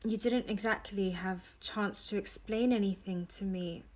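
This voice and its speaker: A woman playing a desperate, defiant young time traveller in an audio drama, speaking emotionally and haltingly. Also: mid-range voice, steady tone, darkish tone, lightly acted. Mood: subdued, guarded.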